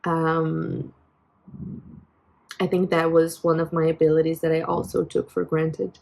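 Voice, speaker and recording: A woman's voice: low-pitched, flat delivery, a little slow, vocal fry, darkish tone. A Brazilian woman in her twenties with a Brazilian Portuguese accent, speaking English as a second language. She vents reflectively into her phone, hesitant, with many fillers and restarts. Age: 20s. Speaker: vlogger. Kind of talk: personal venting vlog.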